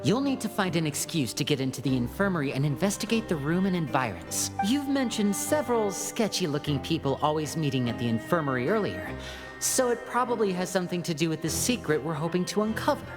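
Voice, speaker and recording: A woman's voice: low-pitched, sing-song, brisk. A woman playing a composed, polite and calculating young male magician in a video game. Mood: earnest, instructing. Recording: game music behind the voice.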